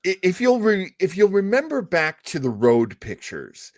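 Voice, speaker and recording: A man's voice: high-pitched, huge pitch swings, normal speed, no room sound, studio quality. A man hosting a casual panel chat, explaining and retelling old comedy bits. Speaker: show host.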